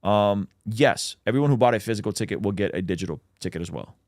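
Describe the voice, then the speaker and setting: A man's voice: on the low side, fast, darkish tone. A man, a native Russian speaker, hosting a casual livestream where he reads out chat questions and answers them with plenty of ums.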